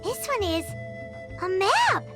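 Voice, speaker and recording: A woman voicing a tiny, excitable, childlike fairy companion in a video game, squeaky and exclamatory. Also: slow pace, full character voice. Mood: excited, curious. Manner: exclamatory, easily startled. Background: music.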